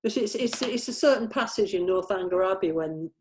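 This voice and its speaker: A woman's voice: mid-range voice, expressive, brisk, darkish tone, a little hiss or hum. A woman discussing a script informally, tentative and circling round her point as she explains it. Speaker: member of a script team.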